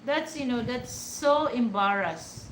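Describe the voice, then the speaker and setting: Slow-talking Filipino-accented woman vlogger. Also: fairly high pitch, lively intonation, a bit bright, roomy sound, slight background noise, low-quality recording. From Philippines. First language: Hiligaynon. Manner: rambling, many restarts and fillers. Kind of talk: casual talk to camera.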